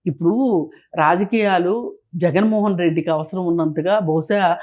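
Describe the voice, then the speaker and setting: A woman's voice: on the low side, normal speed, a little mellow. A woman speaking as an interview guest, giving critical, opinionated political commentary in a colloquial, conversational way.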